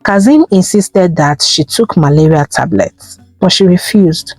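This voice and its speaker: A woman with a Nigerian accent telling a folktale, expressive and emphatic, with dramatic pauses. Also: on the low side, dry recording, soft background music, poor audio. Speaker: storyteller, host of a folktale channel.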